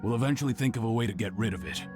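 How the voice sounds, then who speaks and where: Man as a low-voiced, serious caravan investigator. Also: expressive, over music, close to an everyday voice. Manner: measured, formal reporting. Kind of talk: anime game voice acting.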